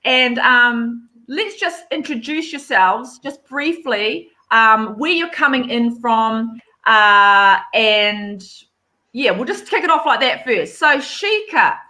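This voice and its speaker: A woman's voice: fairly high pitch, expressive, slow, sharp tone. A woman hosting a live online chat over a video call, upbeat and encouraging. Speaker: host.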